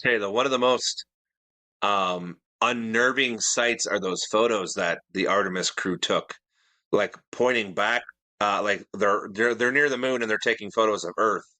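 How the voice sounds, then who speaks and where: Bright, dry-sounding easygoing man. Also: on the low side, normal speed, no room sound. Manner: easygoing, asks questions, rambling. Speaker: podcast co-host. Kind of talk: casual chat.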